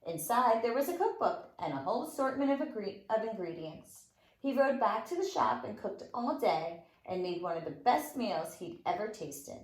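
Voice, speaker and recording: A woman's voice: mid-range voice, sing-song, moderate pace. A woman reading a storybook aloud to young children as a teacher, expressively and with dramatic emphasis. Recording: roomy, echoing room sound.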